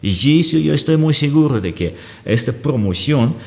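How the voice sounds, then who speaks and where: A man's voice: medium pitch, lively intonation, normal speed, vocal fry, a little mellow, in a large hall. A man, talking as a guest analyst in an explanatory conversation. He searches for words and often checks in with a questioning aside.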